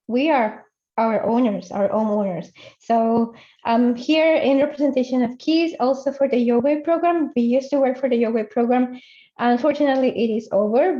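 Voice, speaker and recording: Woman, mellow voice, encouraging, muffled zoom audio. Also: a little high. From Peru. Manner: warm and encouraging, with slight grammar slips. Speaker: entrepreneurship facilitator. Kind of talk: self-introduction on a video call. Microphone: video call. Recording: dull lo-fi video call audio.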